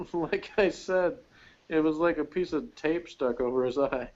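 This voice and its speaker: A man's voice: a little high, unhurried, darkish tone, highs cut off. A man co-hosting a show in casual conversation, rambling along in an easygoing way. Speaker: podcast co-host.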